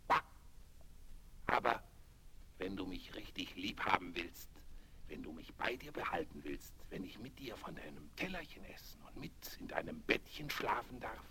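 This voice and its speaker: A man voicing an ugly, insistent big green frog with croaks and quacks in a children's audio play. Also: deep voice, big pitch swings, normal speed, sharp tone, in a whisper, heavily acted. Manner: punctuates speech with quacks, demanding. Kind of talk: 1970s children's radio play acting. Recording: noisy vinyl record transfer.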